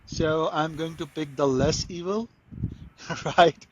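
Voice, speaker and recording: A man's voice: a little high, slow pace, a little hiss or hum, low-quality recording. A man from Pakistan, a guest in a casual interview, talking eagerly with many restarts and stumbles. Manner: frequent restarts and stumbles, enthusiastic. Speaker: guest, crypto marketer.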